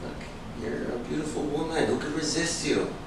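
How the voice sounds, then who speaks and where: A man's voice: a little high, moderate pace, natural voice. Echoey, distant actor as a pushy seductive man. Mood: coaxing, seductive. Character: pushy, seductive, messy man working on a woman. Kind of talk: staged reading of a stage drama. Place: echoey indoor room at a staged reading. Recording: echoey room, distant mic, noisy.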